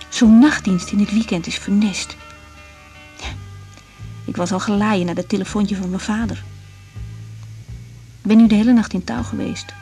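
An actress playing an overwhelmed young night-shift doctor in a radio drama, speaking in an intimate, weary, plain-spoken way. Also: female voice, medium pitch, darkish tone, close to an everyday voice. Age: young adult. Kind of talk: naturalistic radio drama, diary-like monologue and dialogue.

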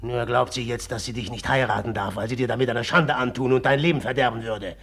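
An actor playing a jealous, passionate young merchant in a 1950s radio drama, speaking slow and heavy, then flaring up. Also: male voice, mid-range voice, fairly bright tone. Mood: agitated. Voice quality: gravelly, husky.

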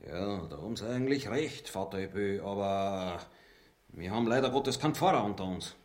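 Ein Schauspieler spielt in einer Hörspielfarce einen vorsichtigen, unterwürfigen Hauptmann und Handlanger. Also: male voice, lowish voice, expressive, moderate pace, darkish tone, natural voice. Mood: hesitant, scheming. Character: cautious, obsequious military henchman and captain. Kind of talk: farce radio acting.

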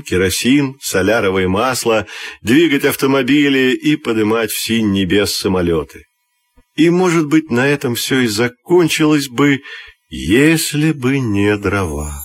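A man reading a scripted children's fairy tale as an expressive, measured storyteller. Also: medium pitch, husky.

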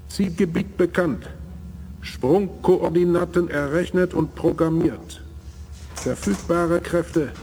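A formal starship crewman in a 1980s radio play. He reads slowly and precisely in a fairly high voice, with an official, measured air. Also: darkish tone. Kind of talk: 1980s German radio drama acting.

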